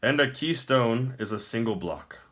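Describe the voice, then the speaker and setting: Gloating tyrant wizard for fantasy audiobook man acting: raised pitch, wildly swooping, grand formal courtesy with mockery. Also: lively intonation, normal speed, fairly bright tone, natural voice. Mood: smug, magnanimous. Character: gloating male wizard emperor, smooth-talking tyrant.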